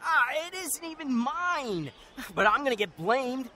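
A man's voice: extremely high pitch, big pitch swings, measured pace, pushed and bright, slight background noise, full character voice. A male actor playing an indignant, hot-tempered teenage boy who stammers his protests in a radio drama. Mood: angry, panicked. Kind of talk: scripted radio drama dialogue.

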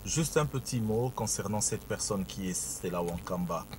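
A man sending an encouraging recorded tribute, switching languages and offering blessings. His voice sits low. A clear rumble of noise and passing traffic runs behind him. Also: animated, moderate pace, a little mellow. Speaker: guest giving a tribute. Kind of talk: recorded message, mixing languages. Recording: noisy, vehicle rumble behind.

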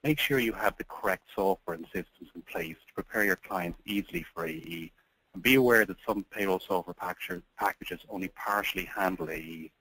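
Deep, bright-toned man hosting a webinar. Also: brisk, slightly rough, no room sound, poor audio. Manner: reads prepared points, then hands over politely. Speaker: webinar host. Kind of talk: scripted webinar presentation.

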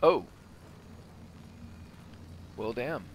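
A man's voice: mid-range voice, expressive, very slow, background noise. A man giving casual gameplay commentary, thinking aloud as he works out his decisions. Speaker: gamer, host of a let's play.

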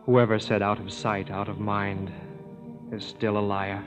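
A man's voice: fairly low pitch, flat delivery, measured pace, music in the background, lightly acted. A man playing a tender, teasing suitor in a 1930s radio drama, in an old broadcast recording.

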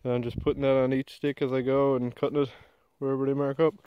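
A man's voice: mid-range voice, fast talker, smooth, no room sound, muffled recording. Easygoing man in the woods. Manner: relaxed, chatty, explains as he works. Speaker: bushcraft vlogger. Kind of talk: casual narration while working. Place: outdoors in a spruce forest. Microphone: handheld or mounted action camera.

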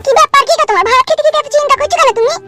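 Squeaky, extremely high-pitched cartoon wife. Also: female voice, very fast, pushed and bright, faint music behind. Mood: hurt, nagging. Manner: plaintive, nagging. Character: aggrieved, whining village wife in a comedy cartoon. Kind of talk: comedy cartoon dubbing.

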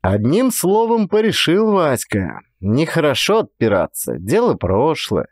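A man acts out a mirthful, needling man in an audiobook drama. His voice rides high and very lively, teasing and pressing. It is recorded close and dry. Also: measured pace, no room sound, exaggerated voice. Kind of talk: dramatised audiobook character acting.

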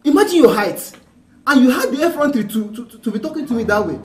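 Scornful nigerian lady officer, husky voice, very animated, scolding and stammering, film comedy drama. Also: high voice, quick pace, exaggerated voice. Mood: indignant, scornful. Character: indignant, scornful government officer in compound quarrel. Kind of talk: screen comedy-drama acting.

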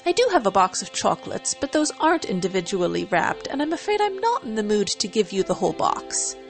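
A woman's voice: medium pitch, very animated, fairly quick, soft background music. A woman voicing a fussy but caring cartoon unicorn mare, haughty, refined and theatrical, in a dramatic story reading. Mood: condescending, softening.

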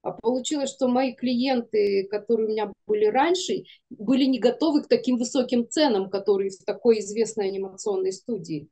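A woman in her forties talks casually as a guest on a video call. Her voice sits a little high and has a very mellow, relaxed tone. She is frank and self-deprecating, explaining things in an informal, conversational way. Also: a little fast. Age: 40s. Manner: candid, self-deprecating, laughs while talking. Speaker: guest, animation studio producer. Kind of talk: casual interview chat. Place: indoor room, video call.

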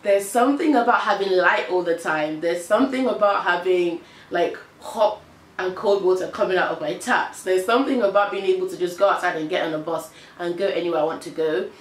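A young woman with an English accent talks frankly to camera in an animated, exasperated way. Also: on the low side, brisk, reverberant. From United Kingdom. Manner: animated, frank, exasperated storytelling with hand gestures. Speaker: vlogger. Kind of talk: casual chat to camera. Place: small indoor room, on a sofa.

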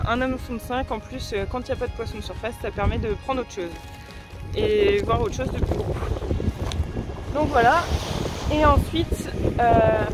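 A woman's voice: on the high side, poor audio. A woman in her thirties from France, talking casually to camera on a beach, hesitant with many fillers and restarts. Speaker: sea angler explaining her rigs. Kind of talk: casual gear explanation to camera. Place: outdoors on a beach by the sea. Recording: music playing underneath.

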